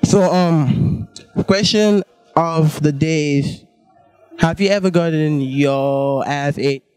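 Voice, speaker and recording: A young man, a native French speaker, putting halting questions to someone as a casual street interviewer. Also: a little high, darkish tone, smooth, soft background music. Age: young adult. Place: outdoor sidewalk at night. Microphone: shared handheld microphone.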